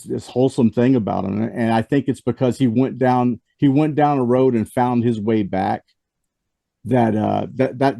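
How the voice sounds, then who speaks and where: A man's voice: on the low side, creaky, mellow, dry recording. A man hosting a casual music review chat and losing his voice after the flu, rambling with frequent restarts and fillers. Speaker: host.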